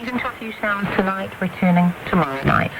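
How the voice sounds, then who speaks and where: A woman's voice: medium pitch, lively intonation, fast. A woman with a New Zealand accent reading a scripted weather forecast as a radio presenter. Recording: very noisy shortwave radio reception. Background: loud radio static.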